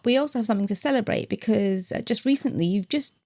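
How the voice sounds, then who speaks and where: Forties woman interviewer; mellow and a little gravelly, encouraging. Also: a little high, fast talker. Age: 40s. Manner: curious, encouraging questioner. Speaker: podcast host. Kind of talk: interview questions.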